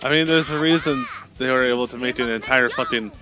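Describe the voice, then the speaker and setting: A man's voice: medium pitch, fast, over music. A man chatting casually with others during a game stream.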